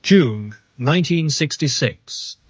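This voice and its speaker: A man with a British accent reading scripted radio drama credits as an announcer, formal and measured. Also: a little high, lively intonation, dry recording, lo-fi sound. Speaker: continuity announcer.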